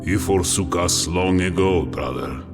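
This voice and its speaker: An actor playing a cruel, mocking space marine who taunts with a sneer in a dramatic audio story. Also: male voice, sits low, a little slow. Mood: cold reproach. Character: cruel, mocking, sadistic male Night Lord space marine. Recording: long cavernous reverb, music underneath.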